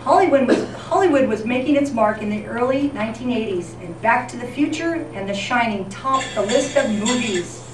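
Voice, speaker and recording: A woman's voice: on the high side, expressive, moderate pace, hardly any pauses. A woman reading scripted, nostalgic historical narration as a presenter at a community appreciation event. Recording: echoey room, distant mic, clear background noise.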